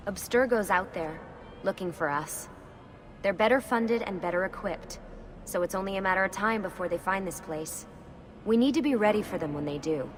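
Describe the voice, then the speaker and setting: An actress plays a determined young woman who leads a team in a video game. She is matter-of-fact and guarded, with a medium pitch and clear ambient noise around her. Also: moderate pace, lightly acted. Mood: brisk, instructing. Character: serious young female team leader, brisk, determined, guarded. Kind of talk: video game cutscene dialogue.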